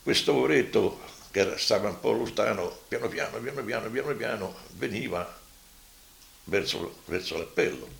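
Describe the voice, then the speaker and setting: A man in his eighties gives spoken testimony with a Roman accent. His voice is low and gravelly, and he tells his memories vividly, repeating words as he goes. The room is audibly echoey. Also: lively intonation. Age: 80s. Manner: vivid storytelling, halting repetitions. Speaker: survivor giving testimony. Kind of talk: spoken reminiscence.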